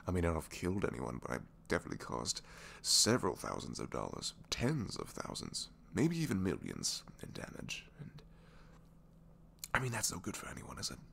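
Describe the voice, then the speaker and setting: A man playing a captured supervillain in an intimate ASMR roleplay, a gentle, bookish dreamer who speaks softly and hesitantly, often sinking toward a whisper. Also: low voice, huge pitch swings, normal speed, gravelly, slight background noise, full character voice. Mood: guilty, bewildered. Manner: hesitant, soft-spoken, rambling, often dropping to whispers.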